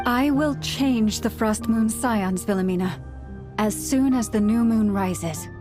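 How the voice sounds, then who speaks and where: A woman voicing an earnest, solemn young priestess in a video game, speaking in a measured way over music. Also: a little high, natural voice. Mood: resolute. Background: background music.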